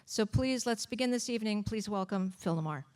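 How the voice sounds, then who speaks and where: A woman speaks as the host of an evening event. Her mid-pitched voice delivers a prepared introduction with formal, deliberate phrasing, measured and orderly. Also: expressive, noisy background. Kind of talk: prepared introduction speech with practical notes.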